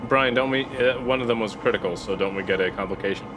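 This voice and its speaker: A man speaking casually and deliberately as a player in a tabletop role-playing game. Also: medium pitch. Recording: clear background noise.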